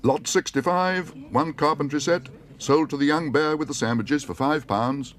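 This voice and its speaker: A man voicing a brisk male auctioneer who calls out the bids loudly. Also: a little high, voice pushed up, close to an everyday voice. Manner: loud, brisk calling.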